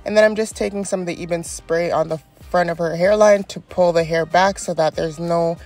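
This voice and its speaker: A woman's voice: lowish voice, fairly even intonation, fairly quick, a little mellow, faint music behind. A young woman with a stuffy, congested voice from a cold, chatting through a step-by-step wig install tutorial and encouraging viewers. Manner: chatty and encouraging, frequent ums. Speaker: wig stylist and beauty vlogger.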